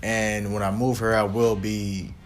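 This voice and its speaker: Man, 30s, deep-ish casual co-host who meanders through his points. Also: flat delivery, a little slow, a little hiss or hum. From United States. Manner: rambling, frequent "you know" fillers. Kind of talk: casual chat.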